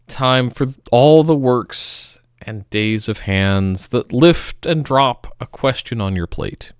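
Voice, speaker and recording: A man reads poetry in a slow, melodramatic style. His clean, resonant voice swells on key phrases and drops to hushed endings, with dramatic pauses between them. The sound is close and dry. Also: mid-range voice, clear, resonant tone, no room sound.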